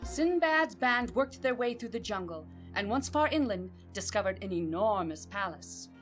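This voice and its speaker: A woman playing a storyteller, narrating an adventure tale in a measured, theatrical audio drama style. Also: medium pitch, animated, close to an everyday voice. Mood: suspenseful. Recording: faint music behind voice.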